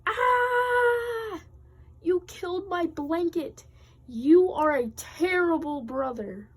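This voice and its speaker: A woman's voice: very high voice, animated, slow, voice pushed up, full character voice. Woman acting a shrieking, indignant little girl. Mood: furious, screaming. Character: furious little girl, loud screaming storybook child.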